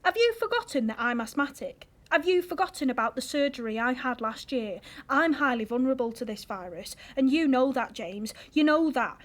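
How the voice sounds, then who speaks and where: A woman's voice: high-pitched, expressive, moderate pace, few pauses. A woman playing an indignant young girlfriend in a modern audio drama, blunt and confrontational. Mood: angry, hurt.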